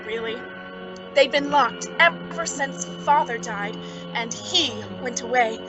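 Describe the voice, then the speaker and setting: An actress playing an anxious, reclusive spinster with a hesitant, fretful delivery in an old-time radio suspense drama. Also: female voice, sits high, poor audio. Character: anxious reclusive spinster, the town's queer one.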